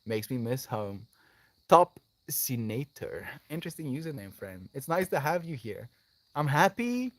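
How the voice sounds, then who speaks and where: A man's voice: medium pitch, no echo. Male livestream host, effusive and affectionate, casual delivery, close dry sound. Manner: effusive, affectionate, calling listeners "friend". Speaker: live streamer, host. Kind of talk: casual livestream chat, responding to viewer comments.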